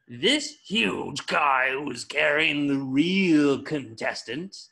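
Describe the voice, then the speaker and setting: Tiny scheming cartoon bad guy, kinda high, slow drawn out bossy speeches. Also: lively intonation, gravelly, bright, forceful tone, full character voice. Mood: grandiose. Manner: grandiose, goading. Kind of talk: cartoon script voice acting.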